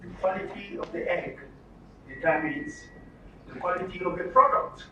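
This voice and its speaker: A man's voice: sits high, normal speed. A man with a Nigerian accent giving an interactive lecture to an audience, emphatic and often putting questions to the listeners. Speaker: speaker at a training session. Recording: roomy, distant mic with background noise.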